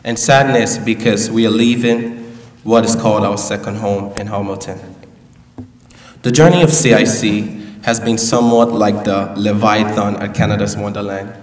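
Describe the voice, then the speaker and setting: A man's voice: fairly low pitch, fairly even intonation, normal speed. Young man giving a speech in an echoey hall. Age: young adult. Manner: playful, joking asides to the crowd. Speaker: valedictorian. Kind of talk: graduation speech. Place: large school hall at a podium. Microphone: podium mic, heard from a distance. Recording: echoey hall, distant mic.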